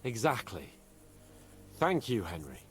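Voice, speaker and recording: An actor playing a boastful, weary young nobleman in a game, with an animated, theatrical delivery. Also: lowish voice, slow pace, a little hiss or hum. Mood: jovial. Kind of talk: game voice acting. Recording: game audio under faint music.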